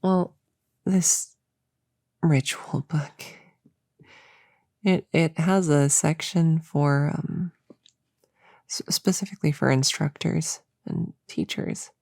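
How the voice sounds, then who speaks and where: Woman doing flustered young witch asmr, halting and whispery, low voice, super close dry sound. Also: vocal fry, no room sound, exaggerated voice. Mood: sheepish. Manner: soft-spoken, hesitant, halting, breaking into whispers. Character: flustered young novice witch, earnest and sheepish friend. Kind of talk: ASMR audio roleplay.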